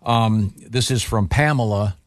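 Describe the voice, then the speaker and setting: Lower-voiced male radio host, dry close sound. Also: a little slow, no room sound. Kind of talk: casual banter and reading listener questions.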